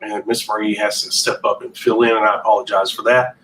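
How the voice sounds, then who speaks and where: A man's voice: fairly low pitch, flat delivery, a little fast, a bit bright. A man hosting an informal business coaching podcast, talking in a folksy, emphatic way and answering his own rhetorical questions. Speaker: podcast host. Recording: distant mic with faint background noise.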